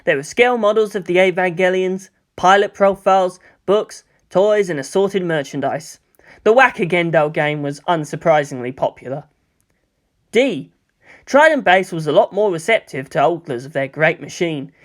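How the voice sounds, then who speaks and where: A narrator reading a scripted story in an expressive way, with dramatic emphasis. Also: medium pitch, moderate pace. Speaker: audiobook narrator. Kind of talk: scripted narration of fan fiction.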